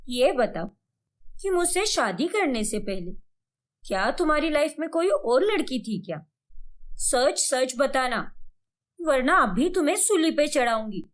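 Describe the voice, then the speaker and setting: A woman's voice: sits high, big pitch swings, a little slow. A woman voicing an eager, playful young daughter-in-law with a chirpy, teasing manner in a cartoon story.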